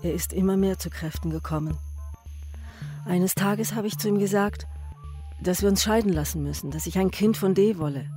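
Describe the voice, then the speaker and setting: An actress playing a grave woman who recalls her starved husband's return from war, in a sober, measured radio drama monologue. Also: medium pitch, close to an everyday voice. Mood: detached, resigned. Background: music.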